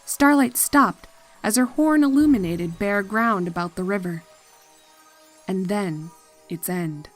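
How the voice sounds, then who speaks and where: A woman reading a scripted horror story as narrator, in a measured and deliberate dramatic style. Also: mid-range voice, faint music behind. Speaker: fan fiction narrator.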